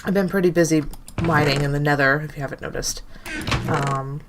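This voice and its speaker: A woman's voice: low voice, steady tone, fairly quick, a little hiss or hum. A young woman with a stuffy, nasal voice from allergies, rambling casually through gameplay commentary and correcting herself as she thinks aloud. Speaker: gaming channel host.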